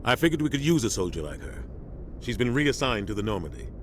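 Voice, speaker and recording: An actor playing a seasoned, loyal military starship captain in a video game, grave and measured with authority. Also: male voice, medium pitch, big pitch swings, lightly acted. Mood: firm concern. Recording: clear engine-like ship noise behind.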